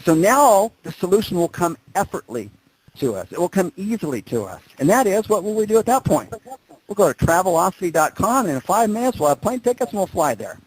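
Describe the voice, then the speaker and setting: Husky-voiced male motivational speaker, very animated. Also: high-pitched, fairly quick, poor audio. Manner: emphatic, repeats phrases for stress; informal, conversational storytelling. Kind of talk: motivational presentation to an audience.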